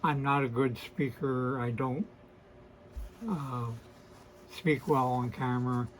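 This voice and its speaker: A man in his sixties with a hoarse, weakened voice, hosting a casual to-camera vlog and talking in a hesitant, apologetic way with many fillers and restarts. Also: mid-range voice, fairly even intonation, takes it slowly, a little hiss or hum, rough recording. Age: 60s. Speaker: host of a beard channel.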